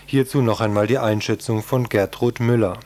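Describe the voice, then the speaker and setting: A man's voice: lowish voice, steady tone, normal speed, darkish tone. A man narrating a scripted radio news report.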